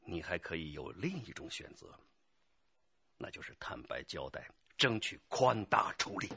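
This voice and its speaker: An actor playing a stern, earnest young police detective lecturing a suspect in a radio drama. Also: male voice, fairly high pitch, bright, forceful tone, slightly rough, full character voice. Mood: stern, urgent. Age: 20s. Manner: emphatic, lecturing. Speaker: police interrogator. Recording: dull, muffled lo-fi audio.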